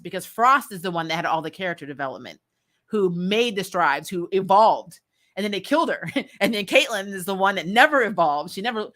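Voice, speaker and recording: A woman hosting a casual commentary video, giving blunt opinions in an animated, exasperated way. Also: medium pitch. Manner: exasperated, blunt and animated, laughing as talk goes. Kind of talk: casual opinionated fan chat.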